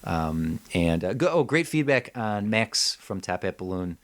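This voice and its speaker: A man's voice: deep voice, big pitch swings, measured pace. A man hosting a casual comedy podcast, joking and exclaiming as he chats. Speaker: podcast host.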